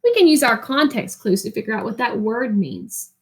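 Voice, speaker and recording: A woman reading a picture book aloud like a teacher, expressively, with explanatory asides and pauses for young listeners to answer. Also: a little high, big pitch swings.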